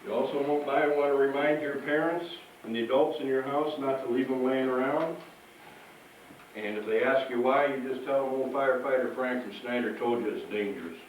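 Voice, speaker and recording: A man's voice: mid-range voice, a little fast. A man presenting as a firefighter to children, speaking in simple instructive terms. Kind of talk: live children's safety presentation. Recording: echoey, distant, noisy room.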